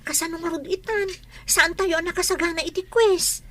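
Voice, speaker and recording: A pushy, complaining teenage student, played by a woman in a radio drama. The voice is high and piercingly bright, whining and insistent. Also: steady tone, fairly quick. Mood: worried.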